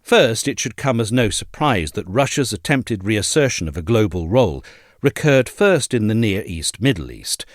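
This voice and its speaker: A man reading a formal essay aloud as scripted narration, in a measured, articulate manner. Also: lowish voice, dry recording, studio quality.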